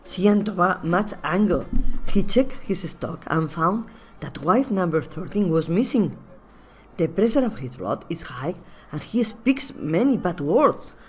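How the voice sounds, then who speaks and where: Woman as a low-voiced, no-nonsense Spanish peasant. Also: big pitch swings, measured pace. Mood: gossipy. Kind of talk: comic radio play acting.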